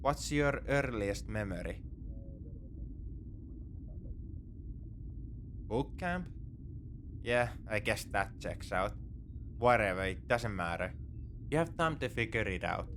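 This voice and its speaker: A man's voice: fairly low pitch, expressive, unhurried, a bit bright, a little hiss or hum. A man playing a wry, morally grey space pirate in a sci-fi ASMR roleplay, with a conversational, nonchalant, dryly teasing delivery. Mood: nonchalant, practical. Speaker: audio roleplay performer.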